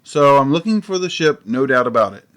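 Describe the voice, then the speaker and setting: A man's voice: medium pitch, expressive, brisk, close to an everyday voice. A man playing an earnest, literal-minded detective dad who acts and narrates in an audio comedy. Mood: baffled, insistent. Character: wisecracking, easily confused detective dad.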